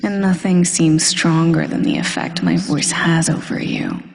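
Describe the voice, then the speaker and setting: Smug female supervillain , voiced by a woman for hypnosis roleplay. Lowish, lightly creaky, breathy voice, deliberate and domineering, slipping into whispers. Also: sing-song, a crowd in the background, exaggerated voice. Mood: hypnotic, confident. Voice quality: breathy, often dropping to a whisper. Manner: slow, deliberate, hypnotic, commanding. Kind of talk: slow scripted hypnosis roleplay audio. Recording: long hall-like reverb.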